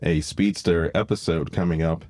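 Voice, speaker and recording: Casual podcast host, male, low voice, dry close sound, loose explanatory delivery. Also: steady tone, normal speed, a little mellow, no room sound.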